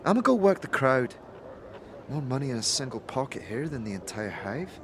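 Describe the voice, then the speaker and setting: A man's voice: medium pitch, very animated, normal speed, background noise. A man voicing an exasperated, sarcastic sidekick who nags and scolds in an audio drama. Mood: exasperated, scolding. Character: exasperated, nagging sidekick with a sharp tongue.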